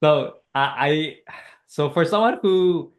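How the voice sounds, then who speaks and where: A man's voice: fairly high pitch, takes it slowly. A man hosting a podcast, asking loose, rambling questions and laughing often. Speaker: podcast host. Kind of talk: casual interview.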